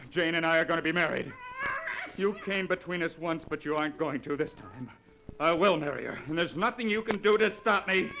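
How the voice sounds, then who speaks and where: A haunted, desperate man, played by a male actor in a 1940s radio thriller. His theatrical delivery is intense and brooding, on a clearly noisy old broadcast recording. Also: a little high, natural voice. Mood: defiant. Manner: theatrical, brooding narration rising to frantic outbursts. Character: haunted, desperate fiance confessing to his doctor. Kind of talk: 1940s radio melodrama acting.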